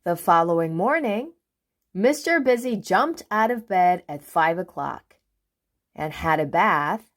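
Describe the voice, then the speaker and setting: A woman reads a children's storybook aloud. Her pitch moves in very animated sweeps over an unhurried, measured pace, and she phrases things dramatically for young listeners. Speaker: children's book reader. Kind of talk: expressive storybook read-aloud.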